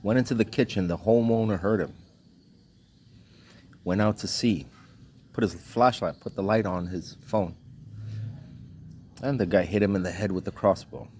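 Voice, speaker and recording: A man's voice: lowish voice, moderate pace, pauses often, darkish tone, slight background noise. A man from Trinidad and Tobago delivering a blunt, indignant vlog rant in short clipped sentences, swearing freely. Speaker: vlogger. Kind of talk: ranting monologue.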